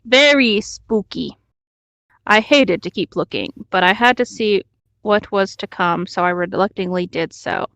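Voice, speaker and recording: A woman with a mid-pitched voice reads a story aloud as a co-host. The sound is dry, with no room around her. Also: a little slow, vocal fry, fairly bright tone, dry recording. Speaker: co-host reading stories aloud. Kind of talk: read-along narration.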